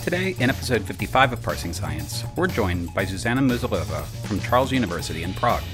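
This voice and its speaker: Lively lower-voiced male podcast host. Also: fast, over music. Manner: lively, clear explainer. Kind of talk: scripted narration.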